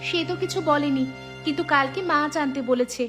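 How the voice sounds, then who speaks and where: Woman voicing a high-pitched, inquisitive village neighbour. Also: fairly quick, fairly bright tone, soft background music. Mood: sympathetic, chatty. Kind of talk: cartoon voice acting.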